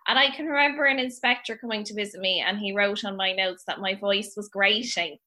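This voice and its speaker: A middle-aged Irish woman with a high-pitched voice, a podcast guest chatting in an animated, teasing way. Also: brisk. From Ireland. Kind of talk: casual chat.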